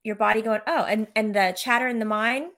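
A woman's voice: on the high side, a little fast, fairly bright tone. A woman author and coach talking in a casual conversation, explaining her ideas earnestly with occasional restarts.

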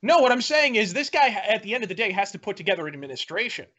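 A man's voice: high-pitched, very animated, rapid-fire, pushed and bright. A man in a heated political debate, argumentative, often breaking off and restarting his sentences. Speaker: debate guest.

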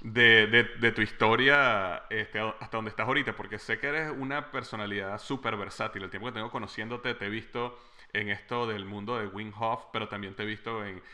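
Male host asking friendly questions, very bright, forward tone. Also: fairly low pitch, steady tone, fairly quick. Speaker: interviewer. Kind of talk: casual interview.

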